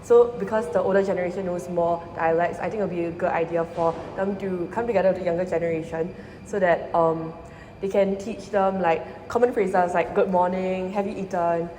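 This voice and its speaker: A young woman from Singapore, a design student, giving thoughtful, measured interview answers. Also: fairly low pitch, fairly even intonation, warm tone, a little hiss or hum. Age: young adult. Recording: roomy hall with faint ambience.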